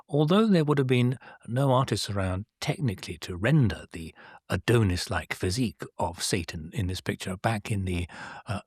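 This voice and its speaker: A man explaining art history in a measured, thoughtful way, his voice mid-pitched. The sound is dry and close to the mic. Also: no room sound. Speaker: podcast co-host. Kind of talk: casual art history chat.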